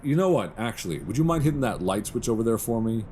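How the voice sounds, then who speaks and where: A man playing a charming, teasing English professor in an intimate roleplay audio drama, talking with soft hesitations in a deep, gravelly voice. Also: big pitch swings, fast talker, a little hiss or hum, natural voice. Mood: friendly, reassuring. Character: charming, teasing male English professor, mild-mannered but flirtatious. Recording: binaural recording with faint background ambience.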